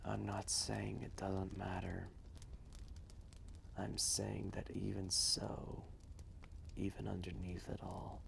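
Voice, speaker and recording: An ancient dragon, voiced by a man, whispers slowly and soothingly in a gravelly, heavily creaking voice. His tone stays flat and patient, almost unmoving. A clear layer of noise sits behind him, like a crackling fireside. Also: sits low, full character voice. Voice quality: soft whisper. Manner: slow, soothing, comforting. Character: ancient gentle male dragon, protective, patient. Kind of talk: sleep roleplay ASMR. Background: crackling fire ambience.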